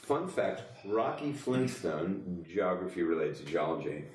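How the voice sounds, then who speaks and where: Deep-voiced man on an echoey podcast. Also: very animated, measured pace, a little mellow, slight background noise. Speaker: podcast co-host running a guessing quiz. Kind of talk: casual comedic podcast chat. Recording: roomy echo, distant from mic.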